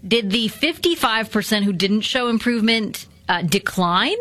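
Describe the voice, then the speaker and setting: A woman co-hosting a radio talk show, chatty and reacting quickly. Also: a little high, expressive, fairly bright tone, no room sound. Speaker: co-host. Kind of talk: casual radio chat.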